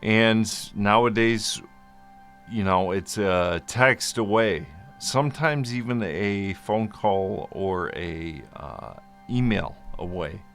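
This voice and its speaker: A man giving an informal explainer as a vlogger, speaking in a halting, deliberate way with long hesitations and at times mildly slurred speech. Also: on the low side, a bit bright, soft background music. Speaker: vlogger sharing a personal medical journey. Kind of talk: informal explainer, part reading notes, part ad-libbed.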